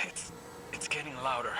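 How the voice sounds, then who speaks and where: A man's voice: mid-range voice, fairly even intonation, a little slow, lightly acted, low-quality recording. A man playing a wary, probing warrior advisor in a video game, grave and suspicious in his questioning. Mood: tense, suspicious. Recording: loud music behind.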